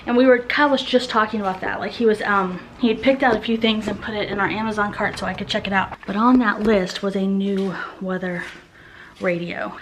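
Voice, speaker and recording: A woman's voice: medium pitch, a bit bright, a little hiss or hum, low-quality recording. A woman vlogging as a mother at home, talking casually in rambling, conversational asides. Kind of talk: casual vlog chat.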